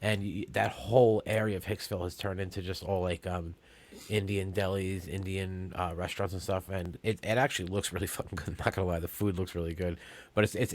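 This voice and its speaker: Male co-host, low voice, quick rambling crude chat. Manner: rambling, filler-heavy, profane. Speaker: podcast co-host. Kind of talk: crude comedic banter.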